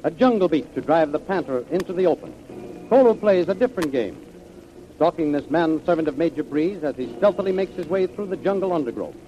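A man's voice: on the high side, animated, brisk, mellow. A man narrating as a 1930s radio serial announcer, in a dramatic, declamatory manner. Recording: muffled, hissy vintage radio recording.

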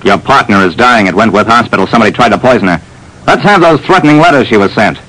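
A man playing a brisk, self-assured private detective who narrates an old radio drama. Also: lowish voice, lively intonation, sharp tone, slightly rough, exaggerated voice, poor audio. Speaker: narrator and lead. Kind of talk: 1940s radio acting.

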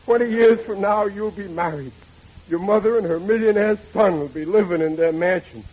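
A man's voice: sits high, moderate pace, husky. An actor playing a melodramatic, woeful middle-aged working-class father in 1940s radio comedy style, whining and ungrammatical. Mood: mournful daydreaming. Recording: dull noisy old radio recording.

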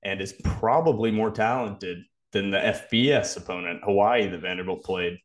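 A man's voice: on the low side, normal speed. A man co-hosting a sports podcast, giving casual, analytical commentary with careful qualifications.

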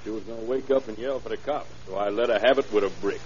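An actor playing a cocky young killer with defiant, slangy tough talk in an old radio crime drama. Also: male voice, fairly low pitch, steady tone, quick pace, a little mellow, lightly acted. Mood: boastful. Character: cocky young male killer, tough-talking street punk. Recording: muffled, hissy 1930s radio recording.

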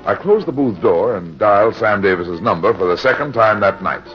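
An actor playing a tough, matter-of-fact American private detective, with clipped old-time radio noir delivery. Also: male voice, on the low side, lively intonation, normal speed, lo-fi sound, faint music behind, natural voice. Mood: tense, grim narration. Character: hard-boiled, unsentimental male private detective in pulp radio drama.

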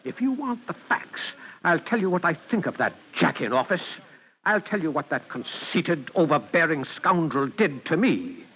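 An actor playing a pompous, easily offended restaurant proprietor in grandiose, formal old-time radio style. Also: male voice, on the high side, expressive, moderate pace, a little hiss or hum. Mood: indignant, blustering. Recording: dull vintage radio recording.

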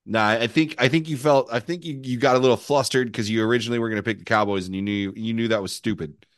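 A man with a hoarse, worn voice, co-hosting a casual sports podcast and needling his friend. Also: mid-range voice, fairly even intonation, quick pace, no echo, clean studio sound. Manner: teasing, needling his co-host. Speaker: podcast co-host.

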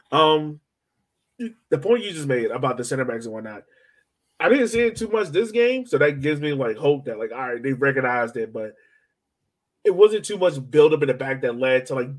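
A man's voice: medium pitch, big pitch swings, moderate pace. A man co-hosting a soccer podcast, chatting informally and with slang like a fan. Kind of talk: casual sports fan chat.

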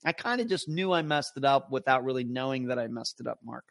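A man's voice: mid-range voice, expressive, quick pace, gravelly. A man hosting a live podcast, reading out listener comments and answering them in a casual, rambling way. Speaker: podcast host.

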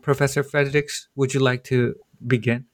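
A man hosting a book interview podcast, reading a formal introduction of his guests in a measured way with occasional slips. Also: mid-range voice, little pitch movement, dry recording, clean studio sound, soft background music. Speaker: podcast host.

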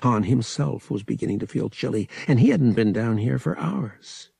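A man's voice: medium pitch, animated, moderate pace, vocal fry, dark tone, husky, dry recording, clean studio sound. A man reading a story aloud as an audiobook narrator, in a dramatic scripted style.

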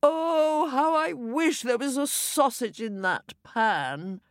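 Woman playing a blustering, high-voiced storybook husband. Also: steady tone, slow pace, dry recording, clean studio sound. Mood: wishful. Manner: roaring and wailing melodramatically. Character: blustering, panicking, self-pitying storybook husband.

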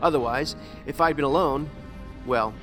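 An actor playing a calm, sardonic trapped man in a sci-fi audio drama, musing wordily with dry humour. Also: on the high side, moderate pace, close to an everyday voice. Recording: music under the voice.

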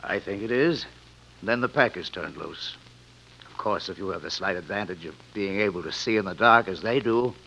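An actor playing a sinister, courteous rich villain in old radio drama, speaking quietly and formally without hurry. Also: male voice, low-pitched, expressive, normal speed, vocal fry, a little hiss or hum, exaggerated voice. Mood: gloating threat. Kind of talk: 1940s-50s radio drama acting. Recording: dull old radio recording.

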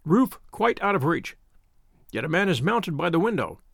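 A man voicing a cool, brilliant male detective who explains his reasoning crisply, like a professor to a class. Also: on the high side, very animated, moderate pace, fairly bright tone, dry recording, clean studio sound, exaggerated voice. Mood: brisk lecturing.

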